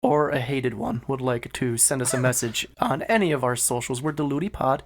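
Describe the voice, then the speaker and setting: A man's voice: medium pitch, brisk, a little hiss or hum. A man hosting a podcast in casual, wryly joking banter. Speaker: podcast host.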